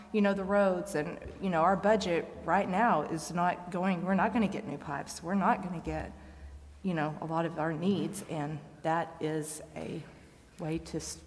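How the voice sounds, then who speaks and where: A female council member giving informal, earnest, plain-spoken remarks at a public meeting. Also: lowish voice. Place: large indoor council chamber. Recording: reverberant meeting hall.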